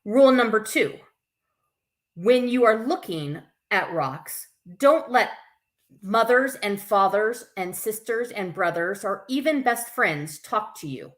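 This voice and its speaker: A woman's voice: mid-range voice, expressive, slow. A woman hosting a live story time for children, reading aloud with informal, chatty asides. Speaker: camp staff story time host.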